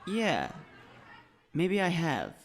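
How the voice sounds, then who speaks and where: A man's voice: fairly high pitch, wildly swooping pitch, very slow, a faint crowd, full character voice. A man playing an earnest, anxious schoolboy hero in an audiobook drama.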